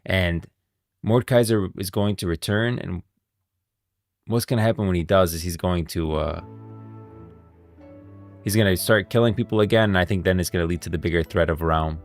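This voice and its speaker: A low, gravelly male voice with music playing behind it. He talks like a keen live streamer breaking down game lore, casual and slangy, leaning hard on his points. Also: moderate pace, pauses often. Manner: enthusiastic, emphatic, slangy.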